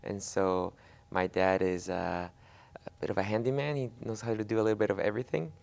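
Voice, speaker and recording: A man's voice: lowish voice, steady tone, a little fast, creaky, a little mellow. A young man born in Mexico whose first language is Spanish, answering interview questions with many fillers and restarts. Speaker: guest.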